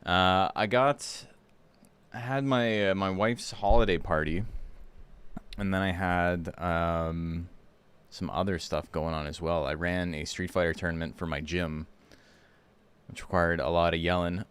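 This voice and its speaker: A hoarse man hosting a livestream, chatting casually and rambling with lots of fillers. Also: deep voice, unhurried. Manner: rambling, relaxed, full of fillers. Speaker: streamer and tournament host.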